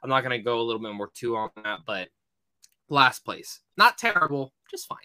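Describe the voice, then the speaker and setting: A man's voice: mid-range voice, big pitch swings, fairly quick, bright, forceful tone, clean tone, no room sound, clean studio sound, soft background music. A man hosting a casual film review podcast, speaking informally and emphatically, often repeating phrases for stress.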